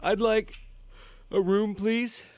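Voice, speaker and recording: A man's voice: sits high, little pitch movement, takes it slowly, noisy background. An actor playing a tired, guarded eighteen-year-old boy in an audio drama. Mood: weary, guarded.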